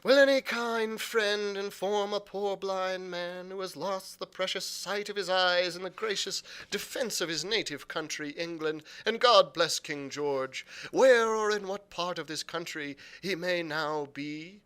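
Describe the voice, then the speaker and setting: A man's voice: sits high, unhurried, hardly any pauses, a bit bright, clean tone, voice pushed up. A man voicing a hunched, sly, menacing old blind beggar in a storybook reading, with an odd sing-song manner. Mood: wheedling. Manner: sing-song, wheedling then sneering.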